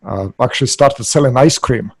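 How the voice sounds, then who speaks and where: A middle-aged man with a Russian accent, a podcast guest, talking haltingly with many hesitations. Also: medium pitch, no echo. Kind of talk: podcast interview.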